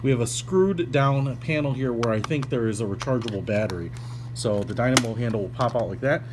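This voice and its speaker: A man talks through a product in a casual, off-the-cuff unboxing style, chatty and informal. His pitch sits in the middle range, and a clear hum and noise run under the recording. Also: a little fast. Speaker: product reviewer. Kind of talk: casual unboxing review, ad-libbed.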